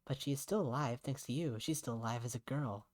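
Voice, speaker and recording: A man's voice: mid-range voice, a little fast, darkish tone, natural voice. A man voicing an earnest young woman in a visual novel, blunt but caring, questioning frankly and insistently. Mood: earnest, firm.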